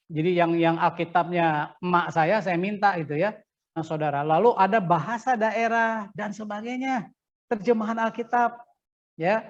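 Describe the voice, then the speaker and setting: High-voiced Indonesian man teaching preaching. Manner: conversational, animated teacher, asks rhetorical questions. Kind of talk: interactive sermon-preparation lecture. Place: online class over video call. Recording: dull lo-fi online call audio.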